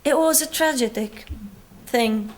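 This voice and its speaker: A woman's voice: a little high, slow pace. A young woman in her twenties from the West Bank, with a Palestinian British accent, giving an earnest personal testimony as a guest speaker at a university talk. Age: 20s. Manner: earnest, pleading with the audience. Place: university lecture hall.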